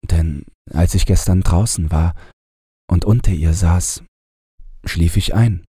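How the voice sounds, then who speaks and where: A man voicing an earnest, sorrowful husband in a fairy tale, speaking in a heartfelt, measured way. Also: sits low, warm tone, husky, dry recording, studio quality, full character voice.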